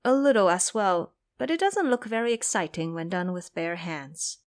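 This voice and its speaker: A woman's voice: fairly low pitch, expressive, moderate pace, lightly acted. A woman voicing a cunning young male dragon, a sly trickster in an audio drama. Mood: sly, modest.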